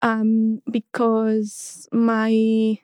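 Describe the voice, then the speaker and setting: A woman in her thirties from Mexico, a guest in a casual interview, who talks hesitantly with many restarts and soft laughs. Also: fairly high pitch, monotone, very slow, clean tone, no room sound.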